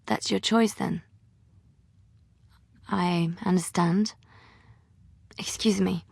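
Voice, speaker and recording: An actress playing a devout, romantic young woman adventurer in a video game, heartfelt, coy and emotionally expressive. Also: on the low side, drawn-out words, gravelly, husky, no room sound, full character voice. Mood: wounded, pleading. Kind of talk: video game dialogue acting.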